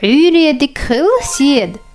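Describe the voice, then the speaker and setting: A woman teaches children in a cartoon lesson. She speaks extremely slowly, in a high voice with lively swings of pitch, setting out each short phrase for repetition. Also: soft background music. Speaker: language teacher and narrator.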